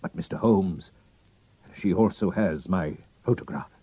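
A man's voice: sits low, unhurried, mellow, husky, no room sound. A man voicing a proud, anxious king who speaks formally and commandingly. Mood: troubled. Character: German-accented royal visitor, proud king, anxious.

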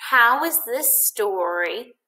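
A woman's voice: on the high side, lively intonation, takes it slowly, bright, forceful tone. A woman teacher giving instructions to her young class in a recorded lesson. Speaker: elementary school teacher.

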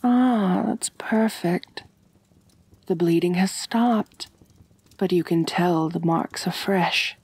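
A woman playing an ancient, wealthy vampire mistress in an intimate ASMR roleplay, genteel and measured, doting yet gently dominant, with a soft breathy voice. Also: on the low side, no room sound, full character voice. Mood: tender, doting.

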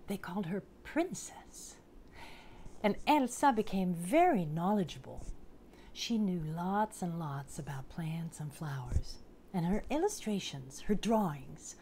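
A woman in her forties presenting and reading to children in a deliberate, expressive, carefully enunciated way. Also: on the low side, slight background noise. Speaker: storytelling presenter. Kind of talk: scripted narration for children, picture-book reading. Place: indoors in front of a curtain backdrop.

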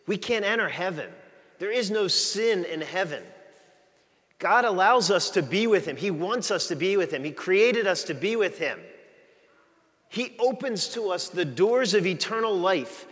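Middle-aged male priest, fairly high voice, relaxed joking sermon delivery, long church reverb. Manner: chatty, joking with the congregation. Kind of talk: conversational sermon. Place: large church, walking the aisle before the altar.

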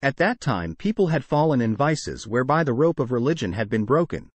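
A man giving a measured, declamatory reading of a religious sermon as narration. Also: mid-range voice, big pitch swings, dry recording. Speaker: sermon reader. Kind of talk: solemn scripted narration over slideshow.

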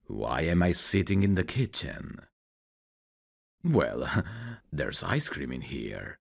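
Guy playing a teasing flirty dude for asmr roleplay, slow drawn out talk, gravelly n husky, intimate. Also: a bass voice, heavily acted.

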